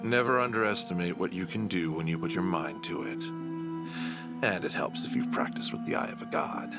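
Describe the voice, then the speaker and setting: A cunning villain played by a man with a very low voice, forced into a reluctant alliance. He speaks in measured, formal sentences, proud and condescending, with quiet menace, as in an audio drama. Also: lively intonation, over loud music. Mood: measured, superior.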